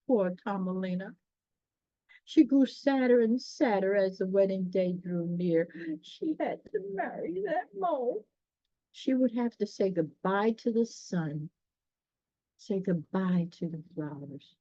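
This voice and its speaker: Casting: woman storyteller for children's tales, very unhurried and mellow, explanatory, chatting to listeners between passages. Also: medium pitch, no room sound, highs cut off. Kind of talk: read-aloud children's story with chatty asides.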